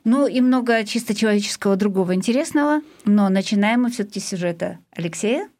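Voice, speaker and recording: Female radio host with medium-pitched voice. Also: fast talker, a little mellow. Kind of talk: programme introduction on community radio. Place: radio studio.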